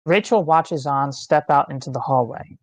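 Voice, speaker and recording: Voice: medium pitch, normal speed, no echo. A narrator reading screenplay stage directions in terse, dramatic phrases. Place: online meeting, close dry mic.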